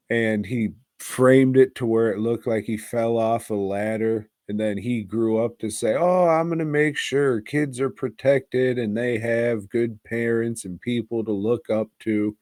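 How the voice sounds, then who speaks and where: A man's voice: mid-range voice, a little mellow, no room sound. A man hosting a casual movie review podcast, talking in a crude, slangy, rambling way with plenty of swearing.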